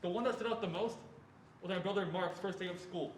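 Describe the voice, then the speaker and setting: A young man performing as an adult man who earnestly recalls his fearful boyhood in a deliberate stage reading. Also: high-pitched, natural voice. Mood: earnest, reflective. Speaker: student performer reading as narrator. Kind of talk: reader's theatre narration of a first-person memoir. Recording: echoey room with faint noise.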